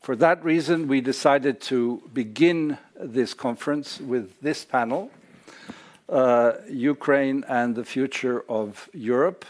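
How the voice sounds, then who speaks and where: A man hosting a conference panel, introducing speakers with many hesitant pauses. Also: medium pitch. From Serbia. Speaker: conference host. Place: lecture hall or library.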